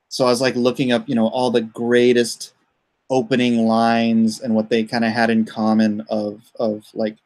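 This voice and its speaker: A man's voice: medium pitch, darkish tone. A man hosting a casual livestream, rambling and easygoing as he thinks aloud. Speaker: livestream host and comic writer.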